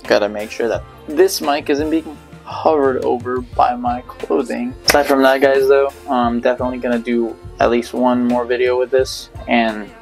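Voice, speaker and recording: A man's voice: medium pitch, fairly even intonation, normal speed, vocal fry, soft background music. A man in his twenties talking casually to camera in a slangy, rambling way, on a small mic in a bedroom. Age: 20s. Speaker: vlogger. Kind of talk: casual direct-to-camera vlog talk. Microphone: small lapel mic held near the chin.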